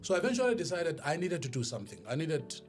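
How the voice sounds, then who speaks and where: A South African man speaking as a podcast guest, a professional speaker telling his story in a deliberate, emphatic, oratorical way. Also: on the high side, sing-song. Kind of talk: motivational storytelling in an interview. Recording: music behind the voice. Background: music.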